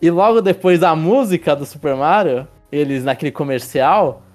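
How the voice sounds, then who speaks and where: A man's voice: a little high, moderate pace, a little mellow, faint music behind. A man hosting a casual film review podcast, rambling with restarts and tag questions as he leads the talk. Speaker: podcast host.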